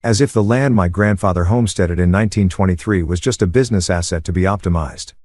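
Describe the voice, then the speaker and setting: Low-voiced male story narrator, dry close sound. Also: fast talker, darkish tone, dry recording. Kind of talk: first-person story narration.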